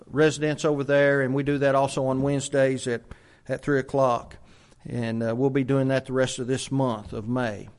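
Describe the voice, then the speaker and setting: A man, a church minister introducing a guest speaker in a halting way, with many fillers. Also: medium pitch. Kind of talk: church announcement.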